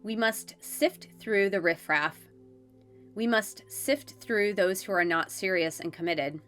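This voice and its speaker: A congested woman reading the solemn, measured words of angelic guidance from a written letter. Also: medium pitch, fairly bright tone, soft background music. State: congested from a head cold.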